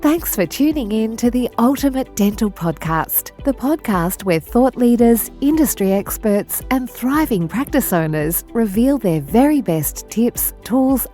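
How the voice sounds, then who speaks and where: Mellow-voiced female announcer over music. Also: fairly high pitch, lively intonation, unhurried, few pauses. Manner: polished promotional delivery. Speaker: show announcer. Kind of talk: scripted intro.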